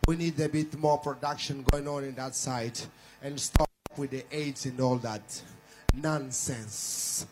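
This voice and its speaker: A man from Mozambique introducing his band's next song from the stage, in slow, deliberate announcements. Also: medium pitch, fairly even intonation, very noisy. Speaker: band leader introducing songs.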